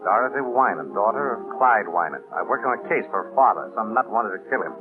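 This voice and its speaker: An actor playing a wry former detective in an old radio drama, easygoing and bantering, with a lively voice that rises and falls. It sounds tinny, with faint music underneath. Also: male voice, on the low side, quick pace, close to an everyday voice. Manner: dry, bantering wit. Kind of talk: 1930s-style radio drama acting.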